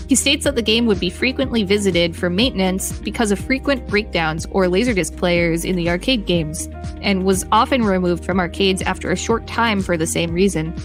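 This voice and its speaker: A woman reads an encyclopedia article for a podcast in a clear, measured voice at a middle pitch. She breaks off now and then for a short reaction. Faint music sits quietly beneath her. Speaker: podcast co-host reading articles. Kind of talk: reading an encyclopedia article aloud with asides.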